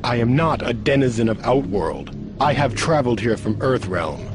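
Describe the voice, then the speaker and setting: A man playing an honourable older martial arts champion in a video game, formal, courteous and deliberate. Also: mid-range voice, animated, music in the background, natural voice. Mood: wary. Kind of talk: video game cutscene acting.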